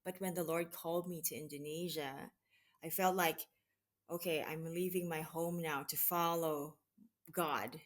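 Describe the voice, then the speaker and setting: A woman from the Philippines answering interview questions in an unhurried way, pausing often with fillers and restarts. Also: sits low. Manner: hesitant, many restarts and fillers. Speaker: guest, mission associate regional director. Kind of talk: conversational interview.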